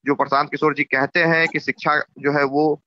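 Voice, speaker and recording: Man, Bihari accent, forceful sharp voice, slightly high, measured explanations, dull phone recording. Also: level tone. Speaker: interviewee on a phone call; YouTuber. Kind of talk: informal political commentary. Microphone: phone call. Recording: muffled low-bandwidth phone call recording.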